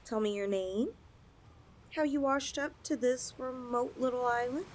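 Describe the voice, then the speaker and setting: Lady doing a yandere lamia girl for asmr roleplay, high and slow, coy cooing, possessive, bit of background noise. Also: close to an everyday voice. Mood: sweet, teasing. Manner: coy, cooing, drawn-out delivery. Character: possessive, teasing young yandere lamia girl, half snake. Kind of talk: ASMR audio roleplay acting.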